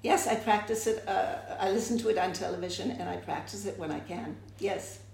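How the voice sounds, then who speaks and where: A woman's voice: mid-range voice, fairly quick, vocal fry, darkish tone. An older woman from the United States, answering interview questions reflectively and often restarting her sentences. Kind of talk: oral history interview. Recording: echoey room.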